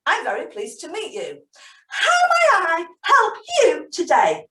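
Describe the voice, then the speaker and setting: A woman voicing a bubbly, giggly female flower seller who loves flowers, high and sing-song. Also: wildly swooping pitch, a little slow, bright, forceful tone, far from the mic, full character voice. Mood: eager. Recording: echoey room, voice a bit off-mic.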